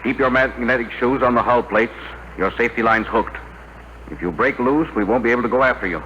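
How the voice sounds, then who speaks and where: An actor playing a stern, authoritative spaceship commander giving brisk orders in a 1950s radio drama. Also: male voice, medium pitch, pushed and bright, lightly acted. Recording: dull, noisy vintage radio broadcast.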